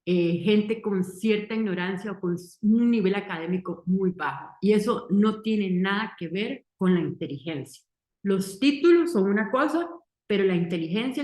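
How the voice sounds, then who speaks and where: A Costa Rican woman in her forties with a Costa Rican accent, giving an earnest success-story testimonial in short, deliberate, emphatic phrases. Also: medium pitch. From Costa Rica. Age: 40s. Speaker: marketing entrepreneur giving a testimonial. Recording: roomy echo.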